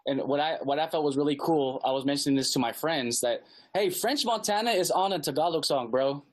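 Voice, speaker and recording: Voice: mid-range voice, brisk. An interviewer, chatting casually and hyping up the guest with slangy praise. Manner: enthusiastic, hypes the guest, slangy.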